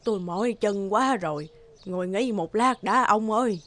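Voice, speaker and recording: A woman's voice: mid-range voice, normal speed, a bit bright, a little hiss or hum, lightly acted. A woman voicing a fond, tired old grandmotherly lady in a cartoon.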